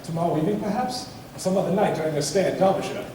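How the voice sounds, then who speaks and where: A man plays a stiff, courteous young gentleman of period drama. His voice is soft-edged and mellow, his phrasing formal and halting. It sounds distant, swimming in the echo of a big hall. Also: sits high, close to an everyday voice. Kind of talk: amateur stage play acting. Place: stage in a theatre or hall, distant microphone. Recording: echoey theatre hall from far off, faint noise.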